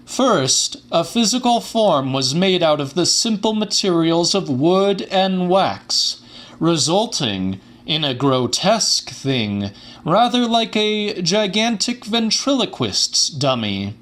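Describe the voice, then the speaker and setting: A man playing a pompous, grandiose scientist-showman lecturing a theater audience in a formal, theatrical, deliberate way, as in a dramatic audiobook. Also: high voice, big pitch swings, fairly bright tone, full character voice. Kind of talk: dramatic audiobook reading of a monologue.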